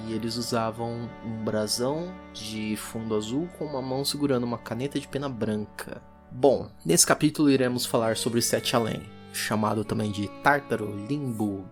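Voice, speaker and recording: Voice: medium pitch, fairly bright tone, lightly acted. A performer with a Brazilian accent playing a weary, methodical occult archivist, musing hesitantly as they dictate an audio drama log. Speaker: narrator. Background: music.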